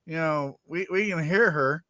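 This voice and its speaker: High, bright stammering man host. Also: unhurried, no echo. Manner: stammering, loose, swears casually. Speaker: show host. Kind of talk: casual podcast chat.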